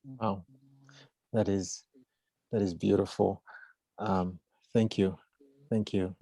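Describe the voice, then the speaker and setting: Halting man with a low, creaky voice. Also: fairly even intonation, dark tone, no echo, poor audio. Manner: halting, searching for words. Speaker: roundtable moderator. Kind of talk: unscripted moderating.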